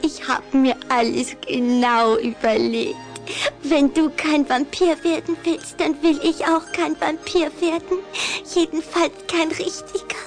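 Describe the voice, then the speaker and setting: A woman's voice: high-pitched, steady tone, unhurried, soft background music. A woman playing a lovestruck young vampire girl in a German children's audio drama, coquettish, proud and emphatic. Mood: defiant, earnest. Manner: girlish, coquettish, emphatic delivery.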